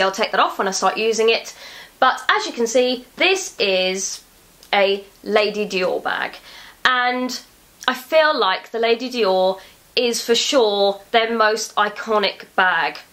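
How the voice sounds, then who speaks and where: A young woman vlogging about a handbag she bought, gushing with excitement, her voice still thick from a cold. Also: fairly high pitch. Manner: gushing, enthusiastic, repeats words for emphasis. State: has a cold. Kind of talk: casual unboxing vlog.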